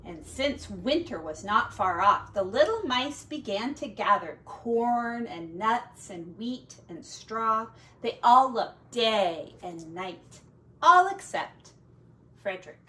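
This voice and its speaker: A woman teacher reading a storybook aloud to children with expressive, deliberate phrasing and casual asides. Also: fairly high pitch, far from the mic, rough recording.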